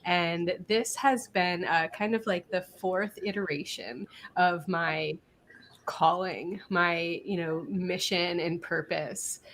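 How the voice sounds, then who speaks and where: A woman's voice: fairly low pitch, steady tone, slow, a bit bright. A woman, slightly scratchy from a cold, chatting as an interview guest with laughs and restarts. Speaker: guest, business coach. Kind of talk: casual live-stream interview.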